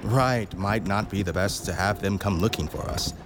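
A man's voice: deep voice, normal speed, noisy background, close to an everyday voice. A man playing an anxious, deferential reverend and father in a fantasy audio drama, speaking politely and earnestly. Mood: anxious, pleading.

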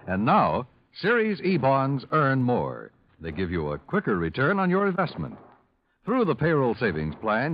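A man's voice: medium pitch, slight background noise. An American man announcing on 1950s radio in an emphatic, formal style. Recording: muffled vintage radio with faint music.

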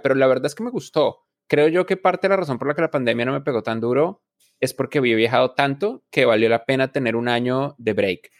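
A man, a reflective interview guest who often restarts his phrases. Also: medium pitch. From Colombia. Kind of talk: casual interview talk.

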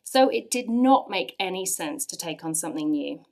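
An English-accented woman in her forties gives a personal testimony to a church audience. Her voice sits a little low, and her storytelling is earnest, with lively bursts of emphasis. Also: normal speed. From England. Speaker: church speaker sharing testimony.

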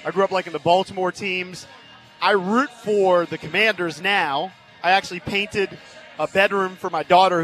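A man with a high voice talks sports as a radio guest, drawing out his points in a conversational way. Faint music plays behind him at a live event. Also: a bit bright.

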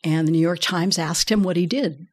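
A woman with a low voice, middle-aged and calm. She explains voice care in an unhurried interview, measured and a little wry. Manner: measured, explanatory, gently humorous. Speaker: voice care expert guest. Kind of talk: relaxed conversational interview.

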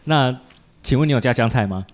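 A man's voice: medium pitch, a little fast. A man with a Taiwanese Mandarin accent hosting a casual chat, joking and colloquial, with lots of little interjections. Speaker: podcast host interviewing a guest.

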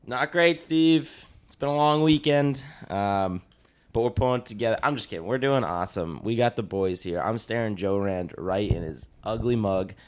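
A man with a low voice co-hosts a relaxed podcast from a living room. He jokes around and teases the others with a dry, deadpan delivery. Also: normal speed. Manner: joking, deadpan teasing. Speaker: podcast co-host. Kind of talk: casual chat.

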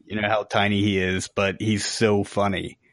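A man's voice: lowish voice, flat delivery, moderate pace, a bit bright, no echo, studio quality. A man co-hosting a podcast in casual conversation. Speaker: podcast co-host. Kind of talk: casual chat.

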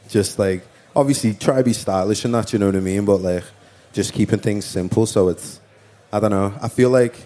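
A man with a Liverpool accent chats loosely as an interview guest. His voice sits a bit low and sounds warm and mellow. He rambles easily, leaning on "like" and "you know what I mean". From Liverpool, England. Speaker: musician guest. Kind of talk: casual interview chat.

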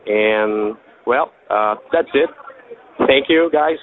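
A man's voice: medium pitch, takes it slowly. A man from Brazil with a Brazilian Portuguese accent, leaving a hesitant, polite phone message. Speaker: caller, painter. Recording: tinny phone line, faint noise.

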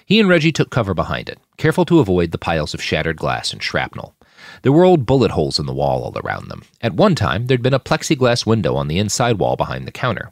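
A man reading scripted action fiction aloud in an expressive, dramatic narrating style. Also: low voice, gravelly, no echo, studio quality. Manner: expressive, shouts out characters' lines. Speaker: audiobook narrator reading own fiction podcast.

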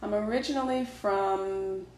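A woman professor from upstate New York, answering podcast interview questions in a thoughtful, measured way with frequent fillers. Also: mid-range voice, a little mellow, roomy sound. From Dutchess County, New York. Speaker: professor guest.

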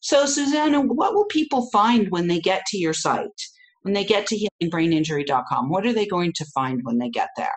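A woman's voice: lowish voice, animated, a little fast. A woman hosting a podcast, interviewing a guest and making upbeat announcements. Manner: upbeat, promotional. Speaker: podcast host.

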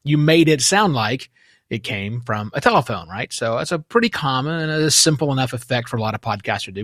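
A man's voice: medium pitch, a bit bright, no echo. Dry-miked male podcast host. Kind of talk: conversational interview commentary.

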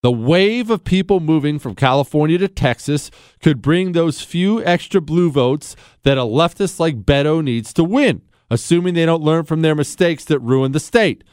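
A man's voice: a little high, lively intonation, moderate pace, darkish tone, clear, resonant tone, no echo, studio quality. A man from Ohio hosting a radio talk show, opinionated, joking and sarcastic. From Ohio, USA. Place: radio studio.

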